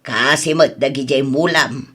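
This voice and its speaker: Male actor as reproachful villager, bright forceful husky voice, scolding, comedy radio drama. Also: mid-range voice, a little slow.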